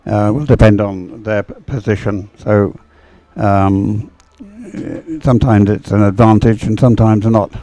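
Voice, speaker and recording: Mellow-voiced man commentating on sport. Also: fairly low pitch, fairly even intonation, measured pace. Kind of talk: conversational race commentary. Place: open-air commentary position.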